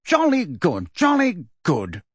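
A man's voice: high voice, sing-song, normal speed, bright, forceful tone, no echo, pitched above the performer's own voice, heavily acted. A man voicing a pompous, blustering middle-aged male politician with a bluff, hearty manner.

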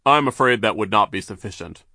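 A man's voice: on the low side, expressive, brisk, bright, forceful tone, no echo, studio quality. A man voicing a stern, pompous middle-aged banker who speaks formally and with emphasis.

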